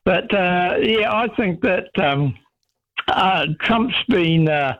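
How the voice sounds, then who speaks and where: A man's voice: high voice, expressive, slow. A man calling a talk radio show, giving his opinion with lots of hesitant uhs. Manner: hesitant, many uhs, chuckles while talking. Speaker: caller. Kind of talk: talkback call. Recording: dull phone call audio.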